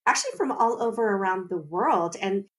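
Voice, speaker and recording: Animated woman telling stories. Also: mid-range voice, fairly quick. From United States. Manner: animated storyteller, laughs often, explains at length. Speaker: guest. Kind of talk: conversational podcast interview.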